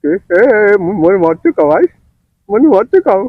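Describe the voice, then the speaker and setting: A man playing an excitable old village farmer, spluttering and repeating his words in a comic prank-call sketch. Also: sits high, unhurried, no room sound, narrow, tinny audio. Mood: pleading, cursing. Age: older.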